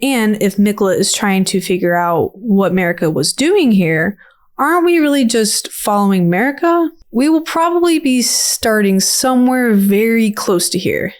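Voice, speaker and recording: A woman's voice: mid-range voice, lively intonation, moderate pace. A woman giving casual, rambling commentary on a video game trailer in a playful, slangy, informal way. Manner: informal, playful, slangy filler-heavy speech. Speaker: video creator and host.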